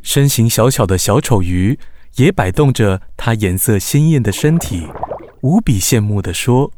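A man narrating a scripted children's story in an expressive storyteller's way. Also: fairly low pitch, unhurried, a little hiss or hum. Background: music.

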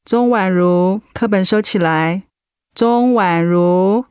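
A woman playing a caring high school homeroom teacher in a radio drama, patient and coaxing, with a Taiwanese Mandarin accent. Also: slow, slight background noise, lightly acted. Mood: brisk classroom authority. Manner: gentle, coaxing.

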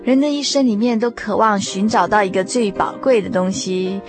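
A woman radio host speaks Mandarin with a Taiwanese accent over a bed of music. Her pitch is average for a woman, and she addresses listeners courteously in a conversational hosting style, explaining details clearly. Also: moderate pace. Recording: music bed under studio voice. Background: background music.

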